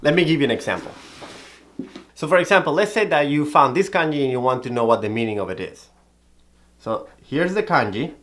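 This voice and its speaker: A man's voice: mid-range voice, brisk. Man teaching calligraphy. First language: Spanish. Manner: explanatory, steady, methodical. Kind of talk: instructional video talk.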